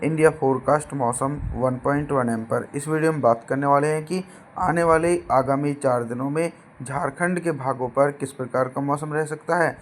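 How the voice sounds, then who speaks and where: A hoarse man presenting a regional weather forecast, steadily listing place names and addressing viewers as friends. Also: mid-range voice, normal speed, a little hiss or hum.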